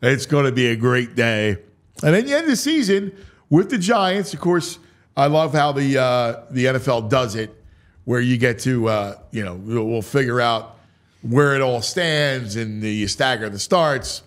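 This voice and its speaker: Man, sports talk host, medium pitch, slow pace, dramatic drawn-out phrasing, casual chatty asides. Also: lively intonation. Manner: dramatic, drawn-out delivery mixed with offhand chatter.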